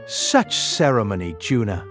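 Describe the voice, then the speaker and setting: Animated gruff old police inspector. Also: male voice, lowish voice, unhurried, music in the background. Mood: hearty, teasing. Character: genial, irritable old police inspector, father of grown son. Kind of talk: audiobook drama acting.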